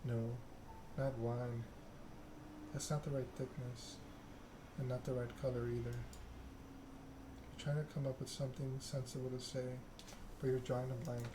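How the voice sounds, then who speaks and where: A man narrating game text in a measured, soothing ASMR style, as a streamer reading aloud. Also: medium pitch, little pitch movement, noisy background.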